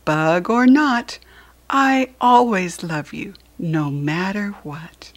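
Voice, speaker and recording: A woman voicing a tender, patient parent fox in a storybook, gently reassuring a child in a measured way. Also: on the low side.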